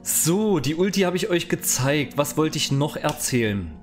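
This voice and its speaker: A man's voice: fairly high pitch, animated, moderate pace, faint music behind. A man in his thirties presenting video game news in a casual, explanatory way, recorded close on a studio mic. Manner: informal, explanatory. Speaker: gaming YouTuber. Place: small indoor home streaming room. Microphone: studio microphone on boom arm, close.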